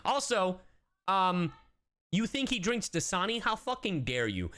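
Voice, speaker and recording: A man's voice: high voice, very animated, a little slow, bright, forceful tone. A man reacting casually on a livestream as its host, joking irreverently and swearing heavily.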